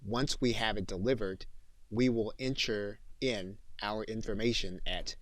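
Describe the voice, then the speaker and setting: A man giving a software training demonstration as an instructor, speaking in deliberate, enunciated, instructional phrases. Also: on the low side, steady tone, dry recording. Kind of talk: tutorial demonstration with dictated voice commands.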